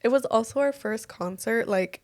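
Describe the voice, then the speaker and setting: A young woman co-hosting a podcast with a raspy voice, chatting casually in a laid-back, teasing way and swearing freely. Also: fairly high pitch, normal speed, darkish tone. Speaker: podcast co-host. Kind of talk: casual chat.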